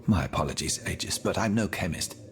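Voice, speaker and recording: An actor playing a learned, courtly older gentleman astronomer in a video game, genteel and thoughtful. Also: sits low, lively intonation, fairly quick. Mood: genteel, pondering. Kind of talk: video game dialogue acting.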